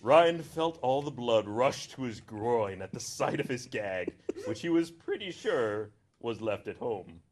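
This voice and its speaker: A man reads a story aloud for comic effect, with a very animated, mid-pitched voice. He keeps stepping out of the text to add asides and chuckles. There is faint background noise. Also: measured pace. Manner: theatrical, often breaking off to chuckle and comment. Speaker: reader on a charity livestream. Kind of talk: comedic read-aloud of fan fiction with ad-libs.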